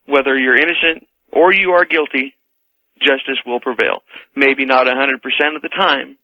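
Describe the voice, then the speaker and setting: A man calling in to a radio show to debate, halting and repeating phrases for emphasis. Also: mid-range voice, fairly even intonation. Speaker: radio caller. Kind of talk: conversational debate. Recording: dull lo-fi radio call.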